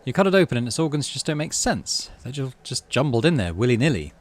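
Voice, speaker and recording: A man's voice: mid-range voice, very animated, fast talker. A man hosting a playthrough, reading game text aloud with animation and muttering offhand commentary to himself. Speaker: gaming channel host.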